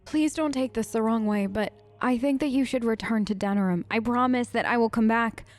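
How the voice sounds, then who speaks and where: A woman's voice: fairly high pitch, a little fast. A woman streamer role-playing her character in a game, chatty and emotive, with hesitant fillers. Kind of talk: role-play let's play chat.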